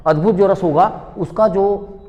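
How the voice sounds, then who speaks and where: Male exam-prep lecturer, slightly high voice, didactic question-and-answer delivery, recorded with long hall reverb. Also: unhurried, highs cut off. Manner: didactic, asks questions and answers them himself, repeats key points.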